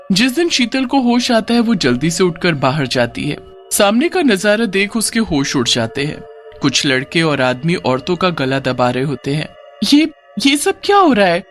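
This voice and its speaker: A man's voice: fairly high pitch, fairly bright tone. A man reading scripted dramatic narration as the narrator of a moral story.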